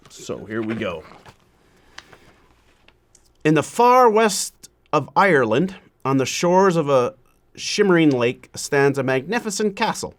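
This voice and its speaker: A man reading a children's story aloud in a measured, deliberate way, pausing often between phrases. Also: on the high side.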